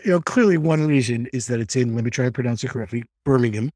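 A man hosting a podcast, reading a polished introduction and then asking his guest questions in a measured, articulate way. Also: mid-range voice, darkish tone, dry recording, studio quality. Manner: measured, articulate, reads from a script. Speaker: podcast host. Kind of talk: scripted intro then interviewing.

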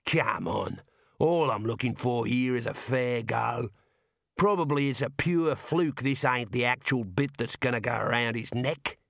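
A man's voice: mid-range voice, unhurried, gravelly, no echo, clean studio sound. A man voicing a shifty, pushy male street hawker, talking in a wheedling sales patter. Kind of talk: audiobook character reading.

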